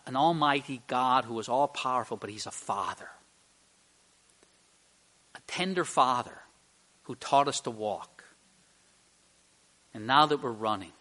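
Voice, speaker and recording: A man's voice: medium pitch, sharp tone. A man preaching an expository sermon as a pastor, speaking earnestly and emphatically, with repeated phrases and rhetorical questions.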